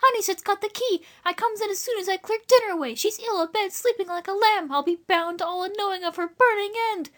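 A scatterbrained working woman from rural England. Her voice leaps up very high, breathless and exclaiming, full of grammar and easily tipped into panic. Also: brisk, few pauses. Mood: distressed.

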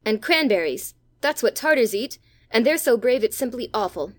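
A woman plays an eager young boy from a storybook, blunt and good-natured. The voice is fairly high and has a brash schoolboy ring. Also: expressive, normal speed. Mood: encouraging. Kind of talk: dramatized children's audiobook.

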